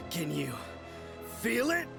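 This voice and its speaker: A man playing a ridiculous, manliness-obsessed swordsman in an anime game, theatrical and halting, swinging between bluster and whimpering. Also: high voice, huge pitch swings, full character voice. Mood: boastful bluster. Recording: music clearly behind the voice.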